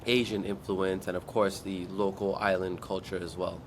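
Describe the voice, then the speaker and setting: A man from Guam, a startup founder, telling his story in an interview in a relaxed way and acting out his past thoughts. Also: low-pitched, moderate pace, noisy background. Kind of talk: interview answers.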